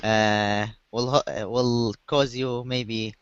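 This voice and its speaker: A man's voice: mid-range voice, fairly even intonation, slow pace, fairly bright tone, clean tone, dry recording. A man answering as a student in a second language, hesitant with many uhs. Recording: dry, dull lo-fi audio.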